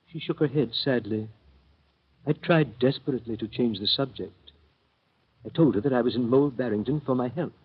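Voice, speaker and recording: A man's voice: medium pitch, breathy edge, natural voice. An actor playing a nervous young male visitor who also narrates, in 1930s radio acting style. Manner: stammering, flustered. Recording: muffled old radio recording.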